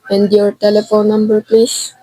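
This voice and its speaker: A young woman in her teens playing a formal, unhelpful chief telephone operator in an amateur radio drama, polite and measured. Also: medium pitch, completely flat delivery, dark tone, no echo, natural voice, low-quality recording. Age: teenager.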